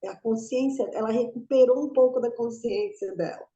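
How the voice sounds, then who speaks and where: A woman's voice: fairly high pitch, normal speed, warm tone, roomy sound, highs cut off. A middle-aged woman in a study group asking a long question, rambling and restarting her sentences. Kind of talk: casual group discussion.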